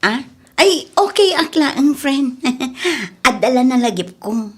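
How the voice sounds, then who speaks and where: Woman acting a high-voiced, troubled young Ilocano woman. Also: animated, takes it slowly, breathy edge, exaggerated voice. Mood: distracted, evasive. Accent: Filipino, Ilocano. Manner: hesitant, evasive. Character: troubled, lovesick young woman, evasive and stubborn. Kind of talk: radio-style drama acting.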